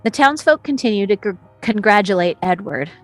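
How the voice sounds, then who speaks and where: A woman's voice: mid-range voice, lively intonation, brisk, dry recording, soft background music, poor audio. A woman narrator reading screenplay action and scene descriptions at a table read. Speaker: narrator reading stage directions.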